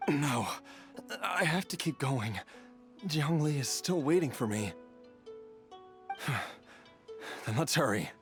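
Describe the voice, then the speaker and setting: Frail lost boy in a game, slow breathy half-whispers, sighs a lot, with music behind. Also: medium pitch, lively intonation. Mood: determined. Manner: hushed, sighing, halting. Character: lost young boy, weak and troubled. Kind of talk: game voice acting.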